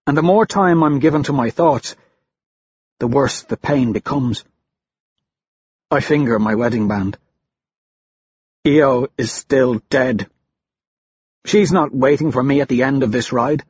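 A male narrator reads first-person fiction at a slow, deliberate pace with a grave, brooding manner. His mid-pitched voice is slightly husky, and the recording is a little dull. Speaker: audiobook narrator.

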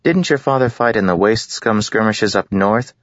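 A man's voice: fairly low pitch, moderate pace, no room sound, pitched above the performer's own voice, natural voice. A man reading aloud, voicing a confident girl with a knowing, teasing way of talking. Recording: dull lo-fi recording.

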